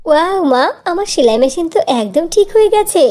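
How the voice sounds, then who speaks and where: A woman's voice: very high-pitched, sing-song, brisk, darkish tone. A woman voicing a sweet, long-suffering young girl in a cartoon fairy tale, childlike and eager. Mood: delighted.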